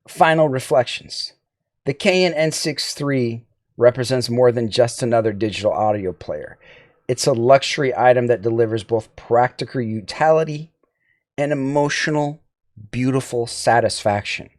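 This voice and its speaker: A man's voice: mid-range voice, normal speed, no room sound. Man reviewing gear, dry close sound. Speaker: audio gear reviewer and channel host. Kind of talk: semi-scripted product review narration.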